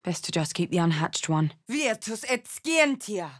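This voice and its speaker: A woman's voice: fairly low pitch, big pitch swings, unhurried, fairly bright tone, exaggerated voice. A woman playing a bold, blunt tiefling warrior in a video game, hot-headed but caring, with a punchy, theatrical delivery. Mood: commanding incantation. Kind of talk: video game character lines and spell chants.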